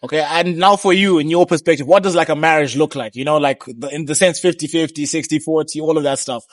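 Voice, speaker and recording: A man's voice: fairly high pitch, fast, no room sound, clean studio sound. A young man hosting a casual street interview outdoors on a city street, laid-back and calling people "bro". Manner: laid-back, says "bro" often, repeats "I get you". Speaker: street interviewer and vlog host.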